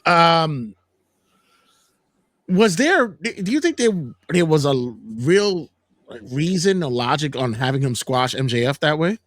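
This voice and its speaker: A man hosting a casual wrestling news podcast, talking in an animated, joking way. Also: on the high side, takes it slowly, no echo, clean studio sound. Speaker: podcast host.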